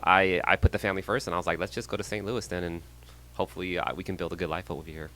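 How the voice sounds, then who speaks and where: A man's voice: low-pitched, fairly even intonation, sharp tone. A man in his thirties from San Jose, California, a real estate agent talking casually as a podcast guest with plenty of ums. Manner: friendly, measured, with frequent ums and small laughs.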